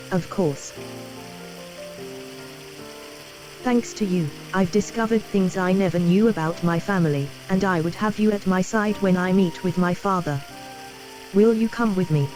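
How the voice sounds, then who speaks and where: An actress playing a gentle, frail young noblewoman in a video game, with formal, measured, polite phrasing. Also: fairly low pitch, close to an everyday voice. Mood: quietly resolved. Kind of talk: scripted video game dialogue. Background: game music.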